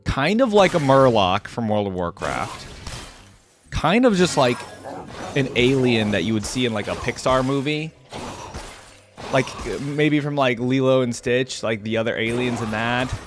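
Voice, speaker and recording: Male reaction host, animated pitch, chatty and excitable, faint music underneath. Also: measured pace. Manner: chatty, excitable, thinks aloud with many fillers. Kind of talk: casual reaction commentary.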